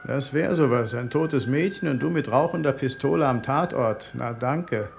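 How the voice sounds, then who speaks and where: An actor playing a wary, irritable gangster boss in a German radio crime satire, gruff, bossy and sarcastic. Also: male voice, mid-range voice, normal speed, a little hiss or hum, natural voice. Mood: irritated, commanding.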